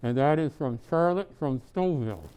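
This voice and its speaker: A man's voice: fairly high pitch, expressive, moderate pace, dark tone, smooth, poor audio. A man reading aloud as a storyteller in the role of Santa, chatting casually between pieces. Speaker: storyteller presenting as Santa Claus.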